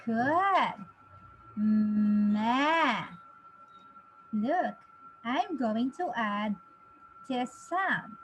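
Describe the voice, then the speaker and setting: A woman in her thirties giving a phonics lesson to young children, encouraging them with drawn-out, exaggerated enunciation. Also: fairly high pitch, expressive. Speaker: reading teacher. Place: small indoor home teaching space. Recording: clearly noisy home webcam recording.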